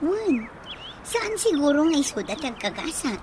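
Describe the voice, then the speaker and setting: Lively, high-voiced young Ilocano woman. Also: normal speed, background noise. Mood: teasing, gossipy. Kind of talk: Ilocano radio drama acting.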